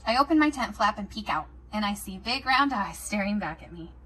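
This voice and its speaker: A woman reading a children's picture book aloud in an expressive storytelling style. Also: medium pitch, a little fast, husky, far from the mic. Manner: animated, acts out the characters' lines. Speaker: storybook reader.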